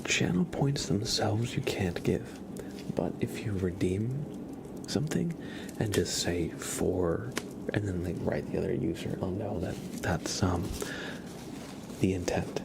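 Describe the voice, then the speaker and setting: An ASMR livestream host of unclear sex speaking gently and politely to viewers in a breathy, creaky whisper, close to the mic. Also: low-pitched, very noisy. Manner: slow, gentle, polite, thanking and welcoming viewers. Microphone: close sensitive ASMR microphone.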